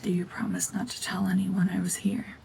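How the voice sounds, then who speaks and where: A woman acting a cold, domineering mutant witch in an ASMR roleplay drama, hushed and often whispering, with terse, guarded commands. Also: medium pitch, completely flat delivery, a little fast, vocal fry, slight background noise. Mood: guarded, softening. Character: renegade mutant cyborg gorgon witch, female, cold, domineering, slowly softening.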